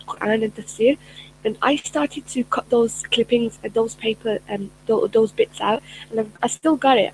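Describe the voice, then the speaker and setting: A woman's voice: on the high side, slight background noise, rough recording. Earnest woman coach, chatty with fillers. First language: Urdu. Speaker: personal development coach. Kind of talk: casual spoken talk to camera.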